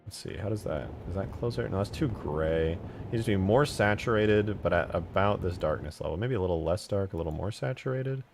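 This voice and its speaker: A man's voice: low-pitched, expressive, brisk, gravelly, faint music behind. A man live streaming while he works, casually thinking aloud and muttering questions to himself. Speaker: game modding live streamer.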